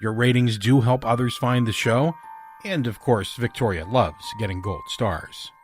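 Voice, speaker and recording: A man's voice: mid-range voice, a little slow. A man hosting a podcast, reading a scripted introduction with announcements over music. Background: soft music.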